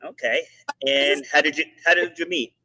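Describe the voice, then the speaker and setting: Bright, husky-voiced enthusiastic male podcast host. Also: a little high, normal speed, low-quality recording. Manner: energetic, enthusiastic, rambling with restarts. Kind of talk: motivational podcast monologue and interview.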